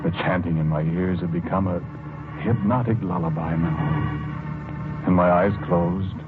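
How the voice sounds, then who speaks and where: Fearful brooding husband, deep voice, halting delivery, vintage radio horror, narrow tinny sound. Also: male voice, fairly even intonation. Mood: dazed, hushed. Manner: slow, halting, brooding delivery with heavy pauses. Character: haunted, fearful husband narrating his horror tale. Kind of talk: old-time radio horror drama acting. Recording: muffled, tinny vintage radio recording with music. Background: loud music.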